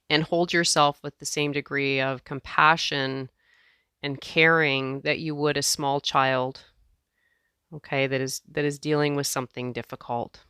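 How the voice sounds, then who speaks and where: A woman teacher leading a guided meditation in a gentle, measured way, leaving space between phrases. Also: a bass voice, clean tone, no room sound, studio quality.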